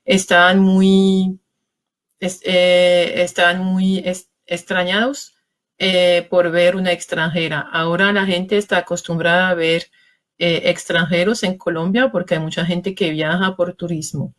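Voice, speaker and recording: A woman from France, a journalist being interviewed, speaking with a French accent in a measured, hesitant way as she looks for words. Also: on the low side, flat delivery. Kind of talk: spoken interview answer.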